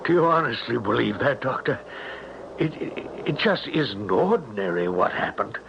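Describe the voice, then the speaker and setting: An actor playing a distraught, haunted middle-aged father in a radio drama, speaking haltingly with stammers. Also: medium pitch, very animated, vocal fry. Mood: grim, convinced. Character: distraught, haunted father fearing his son is dead. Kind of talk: old-time radio drama acting. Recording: dull, hissy vintage radio recording.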